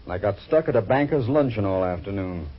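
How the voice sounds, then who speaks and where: Long-suffering priest, very animated intonation, husky lowish voice, droll complaints, old radio drama. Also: male voice. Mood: harried, wheedling. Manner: droll, theatrical, drawn-out complaints. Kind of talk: 1940s radio drama acting. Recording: muffled old radio recording.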